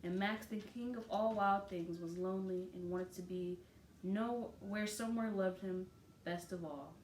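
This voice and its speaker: Female storybook reader, expressive, chatty asides to kids; echoey room, slight noise. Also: mid-range voice. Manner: expressive, pausing on pictures with informal comments. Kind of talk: read-aloud storytelling with casual asides to children. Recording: roomy echo, light background noise.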